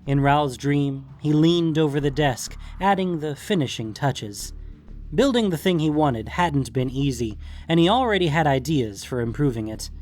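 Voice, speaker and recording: A man narrating scripted fiction in a measured, deliberate storytelling manner. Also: on the high side, animated, darkish tone, faint music behind.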